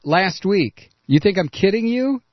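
Male call-in radio host, combative and sarcastic, fairly high voice, dry close studio sound. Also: animated, unhurried, dry recording, lo-fi sound. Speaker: radio talk show host. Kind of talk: political call-in radio talk.

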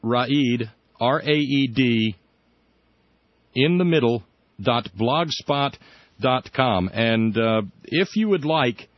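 A male radio talk show host speaks in a measured, earnest way. His middle-pitched voice comes through with a dull, lo-fi studio sound. The room sounds dry and close. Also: expressive, no room sound. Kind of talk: radio interview.

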